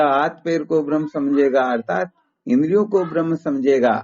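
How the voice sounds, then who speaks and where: Higher-pitched man teaching, muffled call recording. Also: a little mellow. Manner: didactic, explains step by step with rhetorical questions. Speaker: Vedanta spiritual teacher. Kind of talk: philosophical teaching over a phone call.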